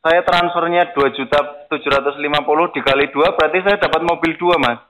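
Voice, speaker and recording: A man's voice: fairly high pitch, brisk, crowd noise. A man chatting casually on a phone call, asking eager, naive questions. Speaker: prank caller playing a gullible prize winner. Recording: dull lo-fi phone call audio.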